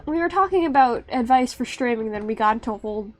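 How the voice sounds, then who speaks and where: High-pitched young woman streamer, rambling. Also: animated, fast, faint music behind. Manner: rambling, self-interrupting, laughs often. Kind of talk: casual live-stream chat.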